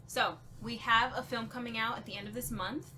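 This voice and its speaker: A woman's voice: fairly high pitch, fairly even intonation, brisk. A woman talking playfully and informally on a group podcast. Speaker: actor and writer on a podcast panel. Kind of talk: casual group chat. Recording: clearly noisy background.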